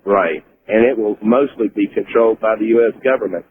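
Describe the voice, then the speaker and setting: Deep-voiced American trucker on phone line. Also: male voice, brisk. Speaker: caller, trucker. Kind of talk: casual phone call to a radio show. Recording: thin phone line on radio.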